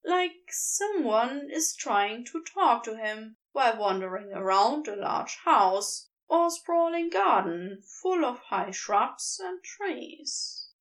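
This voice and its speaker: Slow, lively-voiced woman storyteller. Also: fairly high pitch, rough recording. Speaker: podfic reader. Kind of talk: audiobook narration of fan fiction.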